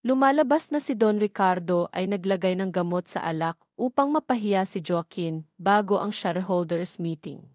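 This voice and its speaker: A woman's voice: mid-range voice, animated, normal speed, darkish tone, dry recording, studio quality. A woman with a Filipino accent reading scripted narration for a romantic audio drama. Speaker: story narrator.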